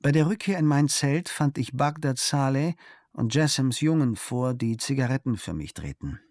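A man calmly reading a travel diary aloud in a measured storytelling manner, recorded close to a studio mic. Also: mid-range voice, no room sound. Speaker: narrator.

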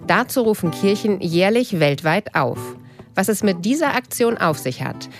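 A woman pastor hosting a church radio interview, asking clear, composed, scripted questions. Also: medium pitch, expressive, moderate pace, soft background music.

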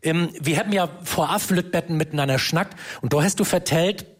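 Man co-host, slightly high voice, moderating. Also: fairly quick. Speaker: talk show co-host. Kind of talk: moderating, partly scripted.

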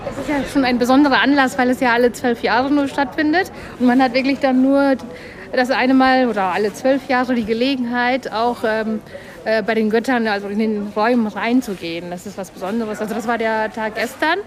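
A woman in her thirties from Germany in a casual interview, talking haltingly and often rephrasing. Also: fairly high pitch, fairly even intonation. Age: 30s. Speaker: interviewee, temple visitor. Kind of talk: casual spontaneous interview talk. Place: busy festival site, recorded on location. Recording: crowd noise around the voice.

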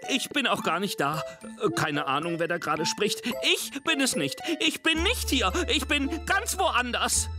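A squeaky, timid little yellow monster. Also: male voice, big pitch swings, a little slow, over music, exaggerated voice. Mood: frightened. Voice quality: high squeaky pleading voice. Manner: stammering, backpedaling. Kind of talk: storybook reading.

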